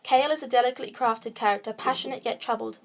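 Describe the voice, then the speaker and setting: A woman's voice: fairly high pitch, steady tone, fast. A woman podcast host reading a scripted analytical essay about fiction aloud. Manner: reading aloud from a prepared text. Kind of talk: scripted essay narration, film and book analysis.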